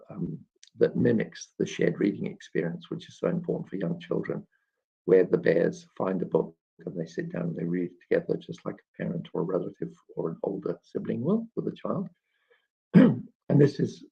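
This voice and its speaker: Gravelly man in a halting live chat. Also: fairly low pitch, animated, mellow. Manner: halting, explanatory, frequent throat clearing. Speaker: children's author and illustrator hosting a live chat. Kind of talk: informal live question-and-answer talk. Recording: dull, muffled lo-fi live stream.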